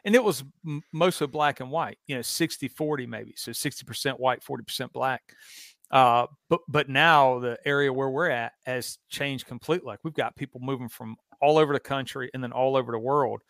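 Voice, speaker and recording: A man's voice: mid-range voice, a little fast, gravelly, fairly bright tone, dry recording, clean studio sound. A man in his thirties with a Southern US accent, hosting a podcast in easygoing, rambling chat with chuckles. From American South. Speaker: podcast host. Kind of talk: casual chat.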